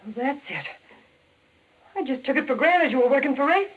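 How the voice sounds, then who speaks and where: A woman's voice: high voice, lively intonation, fairly quick, highs cut off. An actress playing a tough, slangy, vengeful gangster woman in a 1940s radio crime drama. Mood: hard, suspicious. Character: hard-bitten vengeful gangster woman with a gun. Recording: old 1940s radio recording.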